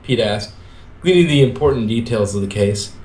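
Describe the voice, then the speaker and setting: A man's voice: medium pitch, animated, normal speed, darkish tone. A man reading fan fiction aloud, switching between scripted narration and character dialogue. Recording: echoey room, amateur recording.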